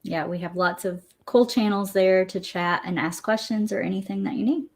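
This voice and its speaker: A woman's voice: mid-range voice, moderate pace, darkish tone. A woman co-hosting a live stream in casual, playful banter. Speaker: event co-host.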